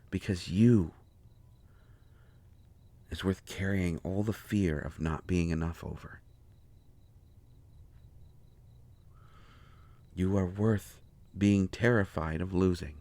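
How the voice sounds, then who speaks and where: A man playing a devoted, anxious healer in an intimate roleplay audio drama, speaking earnestly and haltingly to a partner. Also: low-pitched. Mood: earnest, vulnerable.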